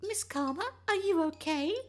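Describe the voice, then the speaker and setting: A streamer voicing an adoring young market girl from a visual novel in a squeaky, gushing way. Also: normal speed. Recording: music behind the voice.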